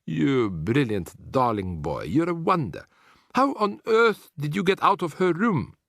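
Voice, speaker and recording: A gentle elderly grandmother speaks in a soft, tender, unhurried way, as a man puts her on in a storybook reading. Her voice sits higher than the reader's own, and it is slow and kind. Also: sing-song, gravelly, no echo, studio quality, full character voice.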